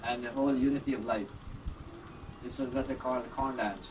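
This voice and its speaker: A man calmly explaining things step by step in casual conversation. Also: medium pitch, a little fast, highs cut off. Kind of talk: casual chat. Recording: distant mic with clear background noise.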